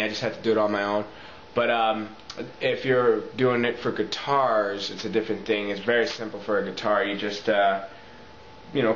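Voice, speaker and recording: A man talks through an informal tutorial in a roomy, echoing space. His audio is slightly dull and lo-fi with faint noise underneath. He explains things step by step in a halting, casual way at a medium pitch. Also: fairly bright tone. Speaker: talk box tutorial presenter. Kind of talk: casual instructional video talk. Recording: echoey room, muffled lo-fi audio, faint noise.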